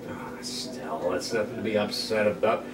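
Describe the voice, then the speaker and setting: A man at a comedy table read playing an excitable, teasing comic-book geek with a nerdy, know-it-all manner. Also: on the low side, normal speed, close to an everyday voice. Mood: nerdy, earnest. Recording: echoey room, distant mic, faint noise.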